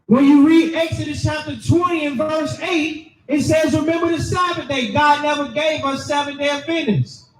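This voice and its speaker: A man preaching loudly and emphatically, calling for scripture readings and explaining them to a crowd. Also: very high-pitched, fairly even intonation, moderate pace, roomy sound, distant mic, slight background noise, rough recording. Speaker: street preacher. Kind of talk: shouted sermon with scripture reading.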